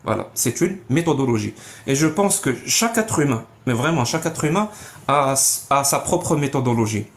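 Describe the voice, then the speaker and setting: An Algerian man holds forth in a live online debate. He talks in a middle pitch and walks through his argument step by step, stammering over repeated words as he thinks. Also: animated, normal speed. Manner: explanatory, repeats words while searching. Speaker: live stream debater, atheist.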